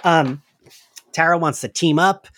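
A man's voice: on the high side, unhurried, a bit bright. A man chatting casually as a podcast co-host, often quoting his own reactions in a conversational way. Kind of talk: casual recap chat.

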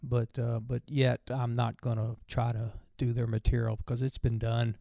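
A man in his sixties from tells stories in a folksy, rambling way, often stopping and starting over. His voice is gravelly and dry, with no room sound around it. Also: medium pitch, little pitch movement, normal speed, dark tone, dry recording. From Virginia, USA. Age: 60s. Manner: folksy, rambling, with many restarts. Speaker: bluegrass musician guest. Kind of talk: casual storytelling interview.

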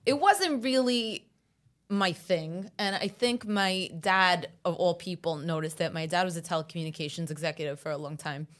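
A woman in her thirties from the USA, whose first language is Italian, talking as a podcast guest in a lively, wry, self-mocking way. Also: lowish voice, normal speed. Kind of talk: casual interview chat.

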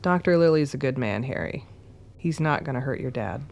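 A woman's voice: rumbling low, expressive, fairly quick, creaky, darkish tone, exaggerated voice. A woman playing a small, frank young woman in a radio drama, with a casual, teasing, reassuring manner.

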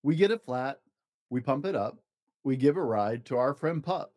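Guy reading as a happy careful toad from a picture book, sing-songy, pitch bouncing around. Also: animated, moderate pace, darkish tone, natural voice. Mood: cheerful, eager. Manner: sing-song, rhythmic. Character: cheerful, careful toad who loves driving. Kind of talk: children's storybook read-aloud.

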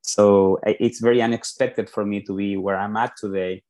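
A man's voice: lowish voice, fairly even intonation, normal speed, a little mellow. A man from Peru with a Peruvian Spanish accent, a guest on a podcast, telling his story earnestly and often restarting his sentences. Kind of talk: podcast interview.

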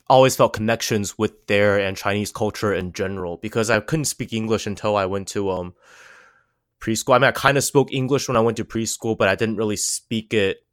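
Relaxed young man, low and dry. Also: clear, resonant tone, no room sound. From United States. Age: 20s. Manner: relaxed, heavy use of "like" and "um". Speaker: guest. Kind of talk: casual interview.

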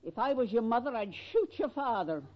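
A woman's voice: fairly high pitch, expressive, fairly quick. An actress playing a coy, tart middle-aged neighbor lady in a 1940s radio sitcom. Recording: dull vintage 1940s radio recording.

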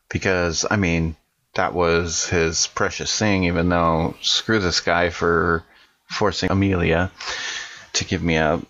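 A man casually narrating his character's actions in a tabletop game, halting, on a dull muffled recording. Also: sits low, a bit bright.

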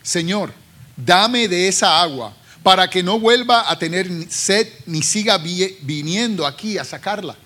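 A woman's voice: lowish voice, animated, measured pace, a bit bright. A man with a Puerto Rican accent reading the lines of a questioning Samaritan woman at a well, his voice lifted a little.

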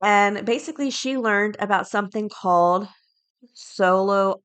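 A woman's voice: medium pitch, moderate pace, a bit bright, smooth. A woman hosting a podcast solo, talking casually and chattily, with informal asides and items read aloud. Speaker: podcast host.

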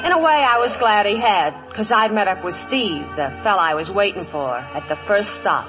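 A woman's voice: medium pitch, expressive, moderate pace, natural voice, rough recording. An actress playing a wistful frontier woman who narrates and acts out her story in a 1950s radio western. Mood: reflective, grave. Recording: vintage radio broadcast with music.

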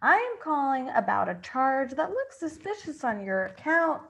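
A woman's voice: sits high, big pitch swings, moderate pace, vocal fry, fairly bright tone, heavily acted. A woman improvising a comedy sketch as a polite, curious bank agent making a customer-service call, a little hesitant. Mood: polite, puzzled.

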